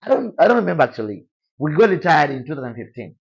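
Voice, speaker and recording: A young man from Tanzania with a Tanzanian accent, speaking softly and quietly as a guest in a casual interview. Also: on the high side, sing-song, quick pace, gravelly, breathy edge, lo-fi sound. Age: young adult. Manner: soft-spoken, quiet.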